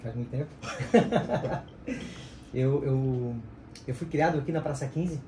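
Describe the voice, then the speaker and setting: A man's voice: medium pitch, slow pace, warm tone. A man chatting casually on a podcast, with stammering restarts and easy laughter. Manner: laughing, slightly stammering chat. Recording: roomy echo with faint hiss.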